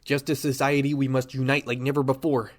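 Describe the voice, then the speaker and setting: A man's voice: mid-range voice, level tone, fairly quick, close to an everyday voice. A man playing an earnest superhero leader in a fan radio drama, with a heroic, declamatory delivery. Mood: rallying.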